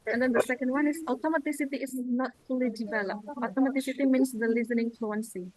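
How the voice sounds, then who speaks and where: A woman with an Indonesian accent, a native Indonesian speaker, lecturing in a halting, explanatory way as she presents slides. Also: fairly high pitch, steady tone, darkish tone, rough recording. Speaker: lecturer presenting at a language-learning seminar.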